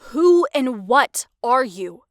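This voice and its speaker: A woman voicing a curious, excitable, nerdy teenage boy in a comic dub, eager and inquisitive. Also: high voice, slow, no echo, clean studio sound, heavily acted. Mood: probing, suspicious.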